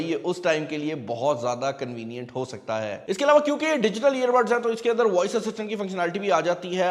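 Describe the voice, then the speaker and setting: A man with a fairly high-pitched voice reviews a product in a reverberant space. He talks casually and directly to viewers like buddies, explaining each point and slipping English phrases into his talk. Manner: conversational, explanatory, addresses viewers as buddy. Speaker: tech reviewer and host.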